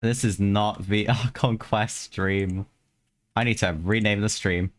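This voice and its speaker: A woman's voice: very deep voice, fairly even intonation, unhurried. A woman streaming gameplay with casual, rambling commentary and slangy, muttered exclamations. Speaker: game streamer. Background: game music.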